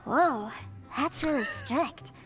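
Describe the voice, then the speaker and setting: A woman's voice: high voice, animated, takes it slowly, music in the background. A woman voicing an innocent, curious little girl in a video game, with childlike earnest delivery.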